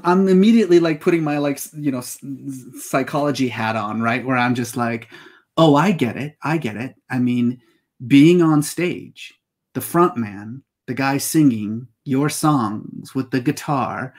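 A man's voice: mid-range voice, a little slow. Man asking probing questions on a video call. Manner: probing, thoughtful questions, builds up to them. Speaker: talk show host, interviewer. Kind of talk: interview over video call. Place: home study with bookshelves. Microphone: earbud headset mic on a video call.

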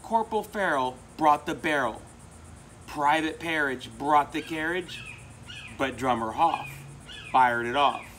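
A middle-aged American man with an expressive, emphatic delivery, presenting and reading a children's picture book aloud. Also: fairly high pitch, a little slow. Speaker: volunteer storybook reader. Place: outdoors among palm trees. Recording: outdoor recording with insect noise. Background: crickets and insects.

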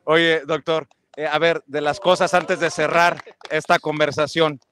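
A man's voice: fairly high pitch, level tone, unhurried, a bit bright. Chatty Mexican male street interviewer. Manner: chatty, rambling questions with fillers. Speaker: interviewer, host. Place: busy city street outdoors with a crowd. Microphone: earbuds, handheld mic.

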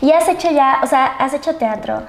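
A woman's voice: high-pitched, moderate pace, vocal fry. A young Mexican woman hosting a casual interview with informal, slangy phrasing. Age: young adult. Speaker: interviewer, host. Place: indoor studio set at a desk. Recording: roomy sound, noticeable background noise.

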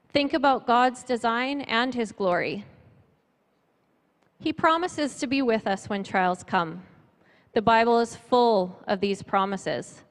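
A middle-aged woman speaking at church in a measured, earnest way, giving testimony and scripture from notes on a handheld microphone. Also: fairly high pitch. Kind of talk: sermon with personal testimony and scripture reading. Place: church stage before a seated audience, indoors. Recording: reverberant hall, amplified church sound.

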